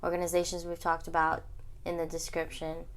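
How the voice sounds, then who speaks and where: A woman's voice: fairly low pitch, completely flat delivery, a little fast. A young woman chatting informally and teasingly as a podcast co-host. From United States, Texas. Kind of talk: casual chat.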